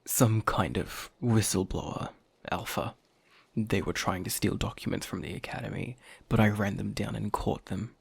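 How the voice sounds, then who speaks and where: Defensive, surly pack grunt for werewolf audio drama, played by a man: very husky, dry close sound. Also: on the low side, fairly even intonation, normal speed, dry recording, full character voice. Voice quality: gravelly, husky. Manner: sullen, defensive. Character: surly, boastful young male werewolf pack underling. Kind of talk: audio roleplay acting.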